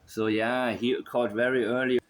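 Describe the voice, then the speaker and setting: A man's voice: medium pitch, flat delivery, moderate pace. A man giving unscripted online commentary as he works through a map, thinking aloud and repeating himself as he goes. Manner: musing, thinking aloud, repetitive. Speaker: online host.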